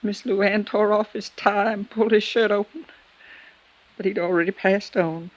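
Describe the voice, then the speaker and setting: An actress playing a loyal, wary, deferential American housekeeper in a 1950s radio drama, folksy and hesitant. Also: female voice, medium pitch, fairly even intonation, normal speed, lo-fi sound, lightly acted. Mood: sorrowful.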